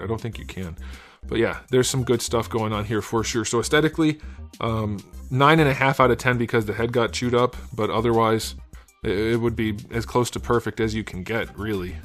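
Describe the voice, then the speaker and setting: A man with a hoarse, scratchy voice casually reviewing an action figure, thinking aloud with small fillers. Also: fairly low pitch, fairly bright tone. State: hoarse, losing his voice. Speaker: toy reviewer.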